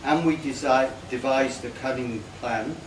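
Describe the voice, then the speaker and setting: Slow-paced man reading in echoey room. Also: medium pitch. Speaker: party guest reading a tribute poem. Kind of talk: reading a comic rhyming poem aloud. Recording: echoey, noisy room, distant microphone.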